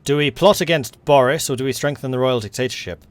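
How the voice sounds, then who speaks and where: A man's voice: mid-range voice, fast talker, no room sound. A Scottish man giving casual let's-play commentary on a strategy game, chatty and wry, with joking asides.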